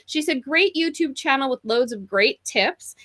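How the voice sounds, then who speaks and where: Female host, New York accent, slightly high pitch, chatty, informal, playful interviewing. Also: animated, a little slow, bright, forceful tone. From New York, United States. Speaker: show host. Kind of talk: casual live interview chat.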